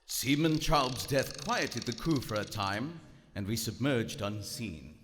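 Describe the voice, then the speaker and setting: An actor playing a proud, cold German submarine captain of the First World War, formal and measured, narrating and speaking in live radio theater. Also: male voice, mid-range voice, expressive, noisy background, close to an everyday voice. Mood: grim, detached. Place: on stage in a large theater. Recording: echoey theater hall, live stage recording.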